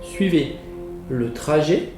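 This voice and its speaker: A man leading a guided relaxation as a yoga teacher, giving soothing, measured instructions with pauses. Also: a little high, big pitch swings. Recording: roomy echo with music behind. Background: relaxation music.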